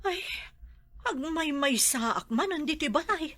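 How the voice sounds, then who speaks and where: A woman acts a harried Ilocano housewife in a comedy drama. Her voice is high and bright, and it swings widely as she grumbles about her chores. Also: animated, slow, exaggerated voice. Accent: Ilocano Filipino. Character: busy, put-upon housewife and mother, grumbling about chores.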